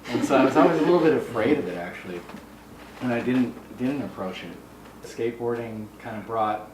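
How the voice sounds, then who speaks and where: A man's voice: medium pitch, animated, fairly quick, a crowd in the background. An American man from the Midwest talking casually and personally about himself and his views. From US Midwest, Minnesota. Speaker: artist. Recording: echoey, noisy room, distant mic.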